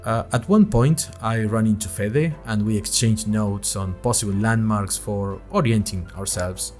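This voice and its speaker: A man's voice: fairly low pitch, a little slow, darkish tone, faint music behind, close to an everyday voice. A man playing a chatty, nervous young college student who narrates his story in a wry, conversational way with dramatic emphasis. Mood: chatty, animated. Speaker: narrator of an audio fiction podcast. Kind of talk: scripted first-person storytelling, diary-like.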